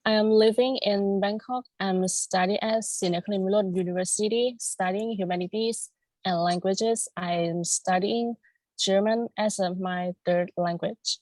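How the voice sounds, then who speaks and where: Young Thai woman student, slow, calm formal answers, dry sound. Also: mid-range voice, no room sound, poor audio. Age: young adult. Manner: calm, careful, formal wording. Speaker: university student. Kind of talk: mock job interview answers.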